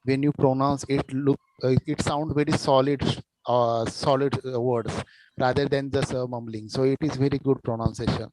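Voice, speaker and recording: A man with an Indian accent giving unscripted feedback as a speech evaluator, halting and repeating himself as he searches for words. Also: mid-range voice, fairly even intonation, a little mellow, a little hiss or hum, rough recording. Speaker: speech evaluator at a public speaking club. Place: online video call.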